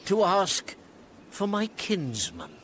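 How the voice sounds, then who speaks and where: A man's voice: high voice, wildly swooping pitch, slow pace, fairly bright tone, slight background noise, exaggerated voice. An actor playing a pompous, self-deluded steward in a Shakespearean radio comedy, savouring his grand fantasies theatrically. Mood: pompous daydreaming.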